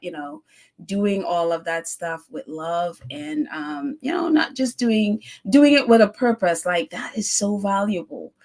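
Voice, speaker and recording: A woman hosts a live stream, talking casually and warmly. She reads out viewer comments and recaps the news in a loose, effusive way, with plenty of fillers and laughs. Her voice sits at an ordinary middle pitch.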